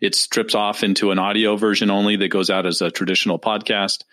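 A man's voice: on the low side, flat delivery, fairly quick, fairly bright tone, no echo. A man hosting a podcast interview, affirming the guest and asking long questions. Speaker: podcast host.

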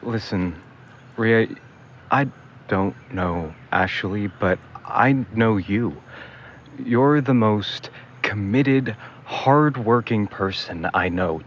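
A man's voice: on the low side, dull audio, slight background noise. A man playing a supportive, easily exasperated young sibling in a naturalistic audio drama, wry and a bit clueless. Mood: earnest, encouraging.

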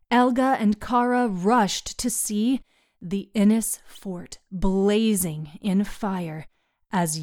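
A woman narrating a scripted fantasy story in a measured, dramatic way, with hushed phrase endings. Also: mid-range voice. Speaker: podcast host and storyteller.

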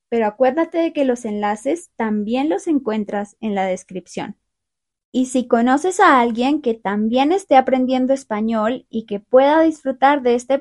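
A young woman hosting a learner podcast, talking through a calm, clear, scripted monologue. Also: on the high side. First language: Spanish. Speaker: podcast host.

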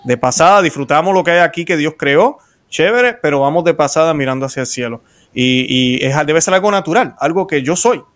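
A man's voice: a little high, expressive, a little fast, few pauses. A Puerto Rican man hosting a faith interview show, talking in a rambling way with many restarts. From Puerto Rico. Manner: warm-hearted, rambling with restarts. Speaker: host.

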